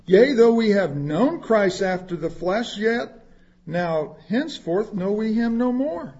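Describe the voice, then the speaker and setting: A man's voice: high-pitched, lively intonation, takes it slowly, a little mellow, husky, lo-fi sound. A man preaching a sermon, reading scripture aloud and explaining it in plain words, with asides to the congregation. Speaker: pastor.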